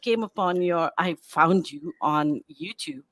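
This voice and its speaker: A middle-aged woman with British-learned English telling stories as an interview guest, animated and full of quoted asides. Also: lowish voice, slow, no echo. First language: English learned in British form, also speaks Hindi. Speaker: guest, bilingual book creator. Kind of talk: casual interview chat.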